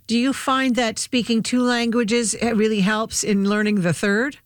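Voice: mid-range voice, little pitch movement, normal speed, no room sound, studio quality. A radio host introducing callers and asking questions, with frequent fillers. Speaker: radio call-in show host. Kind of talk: hosting a call-in radio show.

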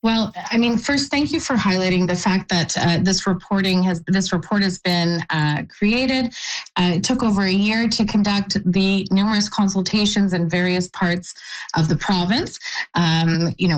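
Woman, youth employment organizer, low-pitched, measured, halting delivery, radio interview. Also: rough recording. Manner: halting, measured, often restarts phrases.

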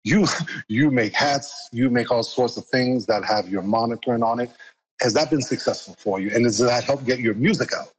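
A man's voice: medium pitch, normal speed, rough recording. A male radio host with a mid-range voice who sets up each question with context before asking it. He sounds easygoing and curious, keeping the talk moving. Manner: conversational, leading questions. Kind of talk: radio interview.

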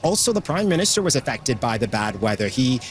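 A male field reporter delivers a live update from outdoors. He talks conversationally and briskly, tripping over words now and then, at an ordinary middle pitch. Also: big pitch swings. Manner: conversational, brisk, with fillers and restarts. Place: outdoors on location.

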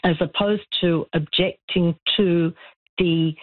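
A man's voice: fairly high pitch, fairly even intonation, dry recording. A man with an Australian accent calling in to a discussion show, speaking earnestly and restarting his sentences often. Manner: earnest, halting with many restarts. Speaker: caller. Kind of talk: call-in discussion. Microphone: telephone. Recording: phone line.

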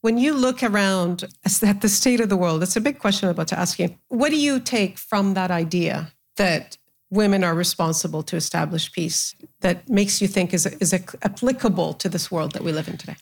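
A woman moderating a panel, thanking her guests and putting measured questions to them. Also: fairly low pitch, creaky.

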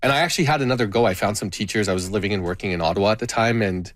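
A man in his thirties, born in Canada with Gujarati as his first language, speaking as a guest about his life, reflective and halting with frequent restarts. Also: fairly low pitch, dry recording. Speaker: guest, tabla player and educator. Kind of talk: interview conversation.

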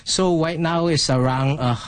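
A man's voice: a little high, unhurried, smooth, dry recording. Man explaining his recipe. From Hong Kong. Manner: earnest, explanatory, with small grammar slips. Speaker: guest chef. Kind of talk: explaining a recipe in a studio interview.

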